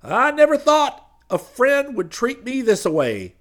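A man voicing a wheedling, fast-talking male radio preacher with a high, sugary drawl. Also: huge pitch swings, a bit bright, full character voice.

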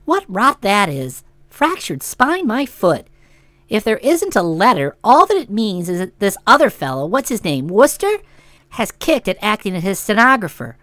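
Brief: woman reader as a gruff, no-nonsense young lady, brusque, lively pitch, dry sound. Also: normal speed, dry recording. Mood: blunt. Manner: brusque and dismissive. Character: solid, blunt, morose young woman.

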